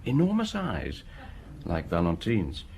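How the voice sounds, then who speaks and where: An actor playing a diligent local police inspector who reports his findings in a brisk, matter-of-fact way in a radio drama. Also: low-pitched, huge pitch swings, a little mellow, a little hiss or hum, heavily acted.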